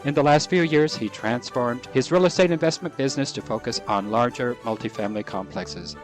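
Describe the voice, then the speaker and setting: A man's voice: medium pitch, brisk, faint music behind. A man hosting an interview podcast, upbeat and formal as he reads his introductions. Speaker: podcast host.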